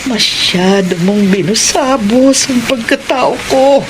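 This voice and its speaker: An actress playing a scorned, vengeful wife in a Filipino radio drama, anguished and bitter. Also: female voice, fairly high pitch, takes it slowly, noisy background. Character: scorned, vengeful wife searching for her cheating husband.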